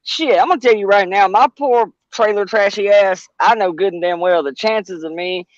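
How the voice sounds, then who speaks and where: A man's voice: sits high, moderate pace, no echo, muffled recording. A man in his early forties on a livestream panel, rambling and self-mocking, with plenty of swearing. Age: 40s. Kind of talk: casual chat.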